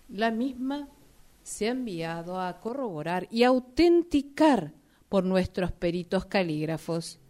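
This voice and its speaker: A woman's voice: mid-range voice, a little mellow, natural voice. Formal, officious notary, played by a woman. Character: formal, officious notary addressing heirs at a will reading. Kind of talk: radio drama acting.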